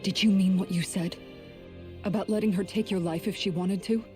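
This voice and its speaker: A woman's voice: medium pitch, steady tone, moderate pace, dark tone, soft background music, natural voice. An actress playing an earnest, caring young woman in a video game. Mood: worried.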